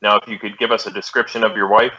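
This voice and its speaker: Terse american cop narrating old radio drama, deadpan, lowish sharp voice, dull lo-fi sound. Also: male voice, fast. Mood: terse, businesslike. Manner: deadpan, matter-of-fact, polite. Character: terse businesslike male police detective. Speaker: police detective and narrator. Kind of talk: 1950s radio police drama, clipped narration. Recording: dull, band-limited old radio recording.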